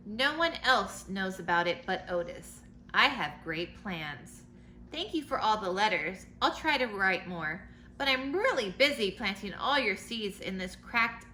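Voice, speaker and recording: A woman's voice: medium pitch, bright, forceful tone. A woman reading a storybook in the part of a cheerful, eager young girl writing letters home. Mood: excited, affectionate. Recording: noticeable background noise.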